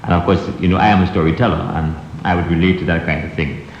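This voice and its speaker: A man with a Caribbean accent from Grenada, talking thoughtfully and in a measured way as a storyteller giving a reflective tribute. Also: sits low, steady tone. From Trinidad-born, grew up in Grenada. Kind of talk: reflective interview talk. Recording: echoey, dull, noisy old radio tape.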